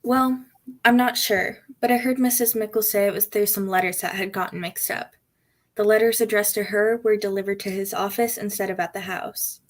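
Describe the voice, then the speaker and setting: A fragile young sweetheart played by a woman in a radio mystery. Her medium-pitched voice is plaintive and full of feeling. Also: steady tone, moderate pace, close to an everyday voice. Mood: puzzled. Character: fragile, lovelorn young village bride-to-be. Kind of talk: old-time radio drama acting.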